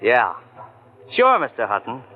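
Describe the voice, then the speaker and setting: An actor playing an eager young office clerk who stays polite and guarded, in a tinny old radio recording. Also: male voice, medium pitch, huge pitch swings, measured pace. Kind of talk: 1940s radio acting.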